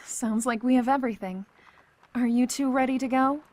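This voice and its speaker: A woman acting as a take-charge leader in an audio drama. Her voice is fairly high, brisk and organised, with a dry sardonic edge. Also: steady tone, darkish tone, close to an everyday voice. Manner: organising, dryly sarcastic.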